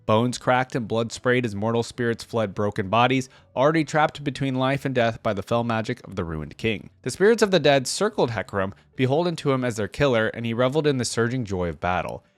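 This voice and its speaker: A man hosting a reaction, moving between casual commentary and expressive reading of fantasy stories aloud. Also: mid-range voice, a little fast, few pauses, dry recording. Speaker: online reaction host.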